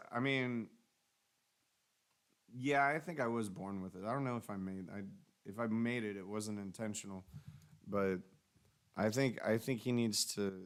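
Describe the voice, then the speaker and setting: A man with a deep, bassy voice, co-hosting a casual stream chat in a loose, joking way. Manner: loose, joking, talks about mic setup. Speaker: stream co-host.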